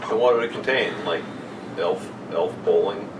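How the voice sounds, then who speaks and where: A man's voice: sits low, moderate pace, distant mic. Heckling young man in a noisy, echoey room. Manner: crude, heckling, interrupting. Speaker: podcast co-host. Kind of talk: casual banter among friends.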